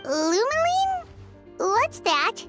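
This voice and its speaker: A tiny, squeaky, blunt game companion, extremely high and childlike. Loud jingly music plays behind. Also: female voice, very animated, very slow, exaggerated voice. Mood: puzzled. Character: cheeky little floating companion, childlike, curious and blunt. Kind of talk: video game voice acting.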